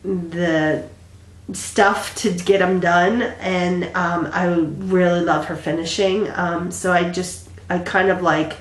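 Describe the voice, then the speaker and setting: Congested woman in her thirties, casual rambling vlog chat, lowish voice, echoey room. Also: steady tone, slow. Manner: rambling, many ums and restarts. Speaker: flosstube vlogger.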